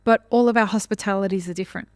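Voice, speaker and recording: A woman's voice: medium pitch, fairly even intonation, very fast, no echo, clean studio sound. A woman from Australia with an Australian accent, interviewing guests conversationally as a podcast host.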